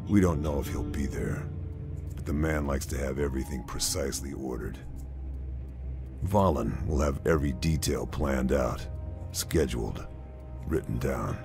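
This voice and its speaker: Lone military sniper, extremely low register, grave and controlled video game narration over scored music. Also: male voice, vocal fry. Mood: grim, focused. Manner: measured, grave, restrained. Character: grim lone male army sniper, terse and calculating. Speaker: protagonist narrator. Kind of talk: scripted mission briefing narration. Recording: music behind the voice.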